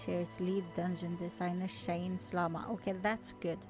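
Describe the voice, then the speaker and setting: Halting non-native English woman, music behind. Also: lowish voice, little pitch movement. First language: not English. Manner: halting, stumbles over words and restarts. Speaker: gaming video creator and host. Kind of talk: reading an article aloud with casual commentary.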